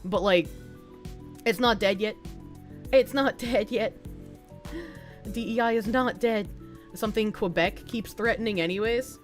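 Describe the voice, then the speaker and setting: A woman with a mild Boston accent, talking loosely to her live chat. She rambles, swears freely and restarts her sentences, at an ordinary pitch for a woman. Soft music plays faintly behind her. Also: measured pace, long pauses. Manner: rambling, frank, profane, with frequent restarts. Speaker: livestreamer. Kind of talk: casual live-stream chat reading donations.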